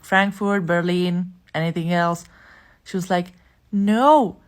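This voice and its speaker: A woman who works as a travel agent, telling an exasperated story to camera. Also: fairly low pitch. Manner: exasperated, emphatic.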